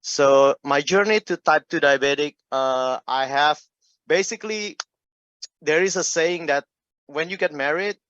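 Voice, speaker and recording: A middle-aged man from Indonesia with an Indonesian accent, telling his personal story in an informal, halting, conversational talk. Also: a little high, fairly bright tone, dry recording, highs cut off. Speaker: speaker giving a personal health testimony.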